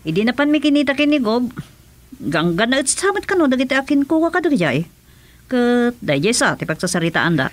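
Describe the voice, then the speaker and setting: A deferential office receptionist in a radio drama. She speaks politely in a fairly high female voice with lively, varied intonation. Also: fairly quick. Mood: explaining. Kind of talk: Ilocano radio drama acting.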